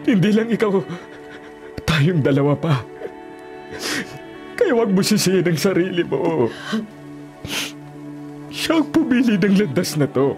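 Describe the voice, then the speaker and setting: A man's voice: sits high, sing-song, a little slow, long pauses, a little mellow. A Filipino man playing a dutiful young policeman torn over his criminal brother in an emotional, resolute radio drama style. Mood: sorrowful, resigned. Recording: dramatic music behind.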